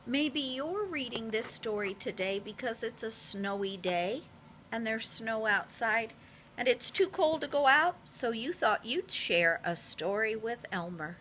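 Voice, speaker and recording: A woman teacher reading a storybook aloud to young children in an expressive, deliberate way. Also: on the high side, a little hiss or hum, rough recording.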